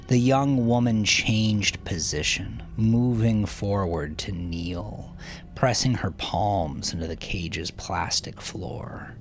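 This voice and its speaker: A man narrating dark dramatic fiction in a brooding, theatrical way, with breathy hushed phrase endings. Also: on the low side, slow. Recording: music behind the voice. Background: music.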